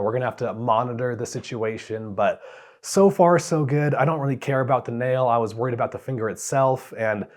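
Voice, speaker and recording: A man speaks with a hoarse voice left over from a bad cold. He gives a quick, relaxed update to his camera in plain words with the odd "uh". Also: mid-range voice. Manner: relaxed, plainspoken, a few fillers. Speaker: travel vlogger.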